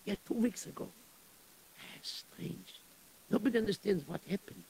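An actor playing a gossipy, sighing male synagogue caretaker with a husky voice. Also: sits high, quick pace, dark tone, a little hiss or hum, rough recording. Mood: gossiping. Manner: sighing, gossiping, fussing over tips.

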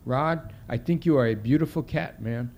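A man's voice: medium pitch, moderate pace, darkish tone, lightly acted. A man voicing a young, streetwise ex-addict caller, earnest and preachy, pep-talking in slangy street rap. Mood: warm, proud.

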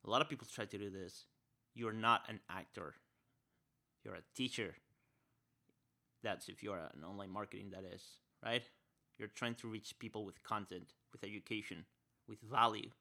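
Bright-toned young male podcast host. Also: lowish voice, moderate pace, creaky, pauses often. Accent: Spanish-speaker's accent. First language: Spanish. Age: young adult. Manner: energetic, repeats key phrases, says "right?" often. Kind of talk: podcast interview and solo wrap-up.

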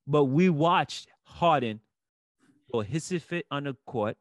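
Man with a Haitian accent, fairly high voice, emphatic, heated sports debate, dry close sound. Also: animated, a little slow, no echo. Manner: emphatic, rising to near shouts when worked up. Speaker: podcast co-host.

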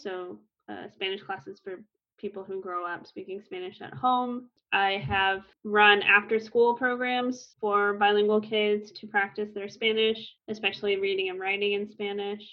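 Woman, 30s, Spanish teacher, easygoing chat with plenty of ums, mid-pitched, slightly dull lo-fi sound. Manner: relaxed, with frequent fillers and restarts. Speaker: Spanish instructor. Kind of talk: casual conversational explanation. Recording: slightly muffled lo-fi recording.

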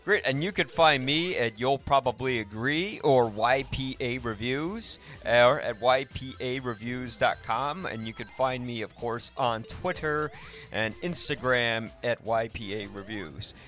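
A man hosting a podcast, casually discussing films in a rambling, halting way that often interrupts itself. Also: medium pitch, fairly bright tone, soft background music. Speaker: podcast host.

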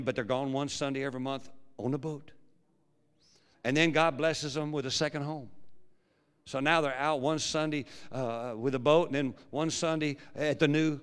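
A pastor preaching a sermon with a big, projecting voice that swings from quiet low musing to loud fervent preaching. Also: male voice, fairly even intonation, normal speed, smooth. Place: large church hall. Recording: long hall reverb.

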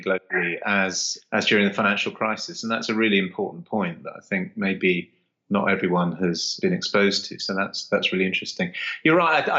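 A man's voice: on the low side, bright, forceful tone. A man hosting an interview, hesitant and affirming, often repeating his words as he asks questions. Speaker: interview host. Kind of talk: conversational market interview. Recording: dull lo-fi audio.

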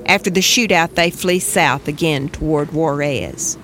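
A narrator reading a scripted spoken-word story in terse, measured phrases. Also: medium pitch, fairly bright tone, slight background noise. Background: music under the voice.

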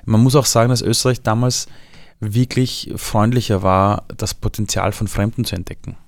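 Gravelly low-voiced man from Iran, Viennese accent. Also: normal speed, no echo, studio quality. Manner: lively storytelling, shifts voice for quotes. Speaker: interviewee. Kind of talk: relaxed interview talk.

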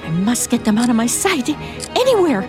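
An actress playing a frantic, terrified middle-aged woman held captive, halting and stammering in the manner of an old radio suspense play. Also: fairly high pitch, very animated, music in the background. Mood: anguished dread. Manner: breathless, stammering, pleading, halting. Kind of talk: old-time radio suspense drama acting.

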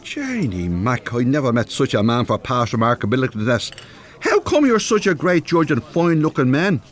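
An older north Dublin man, a retired binman in a naturalistic radio play. His voice swings very widely in pitch as he talks, folksy and earnest, with a naive, good-hearted chattiness. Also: big pitch swings, normal speed. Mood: indignant. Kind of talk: naturalistic radio drama acting.

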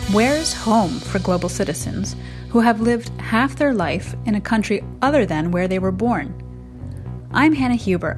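A middle-aged woman with an American accent, narrating a personal podcast as its host from a prepared script. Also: mid-range voice. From United States.

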